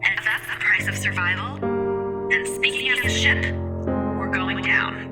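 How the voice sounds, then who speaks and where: An actress playing a defiant, cranky artificial intelligence of a space station in an audio drama, speaking in a firm, measured, clipped way. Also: female voice, medium pitch, over loud music, natural voice. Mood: bitter, resolute.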